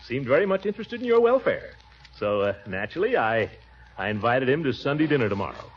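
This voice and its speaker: A man's voice: mid-range voice, sing-song, fast talker, natural voice. A man playing a pompous, blustering middle-aged uncle in 1940s radio sitcom acting. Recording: dull 1940s radio broadcast with faint hiss.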